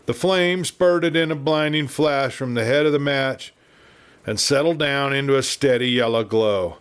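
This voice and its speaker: Male audiobook narrator, American accent, medium pitch, measured and dramatic Western storytelling. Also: clean tone.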